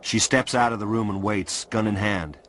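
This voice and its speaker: An actor playing a watchful, brooding hacker who narrates a radio drama in a hushed, terse, intense first person. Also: male voice, lowish voice, moderate pace, background noise, natural voice. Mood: intent, awed.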